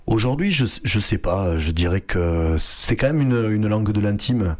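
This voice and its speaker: A young man, raised speaking Flemish at home, talks through an interview in a low voice. He stops often with fillers and restarts his sentences, searching for words as he goes. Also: expressive, moderate pace, a little mellow. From France (Hérault, Occitanie). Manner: hesitant, many fillers and restarts. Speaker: artistic director, guest. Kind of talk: radio interview.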